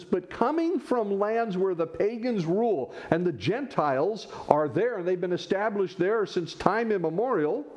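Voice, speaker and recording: A man preaching a sermon as pastor, teaching with rhetorical questions and animated swings in his delivery. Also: high voice.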